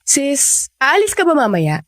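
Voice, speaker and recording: An actress playing a skeptical, protective young sister in a radio romance drama, with a blunt, probing way of talking. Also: female voice, high voice, wildly swooping pitch, unhurried, no echo, faint music behind, full character voice. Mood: casual.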